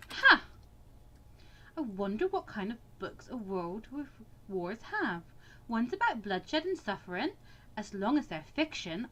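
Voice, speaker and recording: Woman playing a lively high-pitched bookish student girl. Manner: expressive, introspective. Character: anxious, bookish teenage girl student mediator, wryly sarcastic. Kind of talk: dramatic reading of visual novel monologue.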